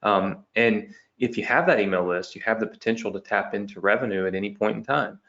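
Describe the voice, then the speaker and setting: A man's voice: fairly low pitch, a little fast, vocal fry, a bit bright. Guy losing his voice walking thru a business lesson, keeps saying okay? right?, kinda lo-fi sound. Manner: tag questions after each point, explaining step by step. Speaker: online business coach. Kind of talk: whiteboard training lesson.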